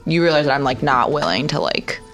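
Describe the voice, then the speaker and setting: A woman's voice: low-pitched, animated, fairly quick, fairly bright tone, faint music behind. A woman playing a tabletop roleplaying game, casually describing her character's actions and speaking in character.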